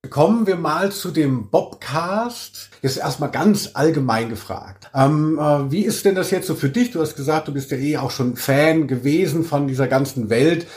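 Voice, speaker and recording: Higher-pitched male interviewer. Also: normal speed, hardly any pauses, darkish tone. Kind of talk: casual podcast interview.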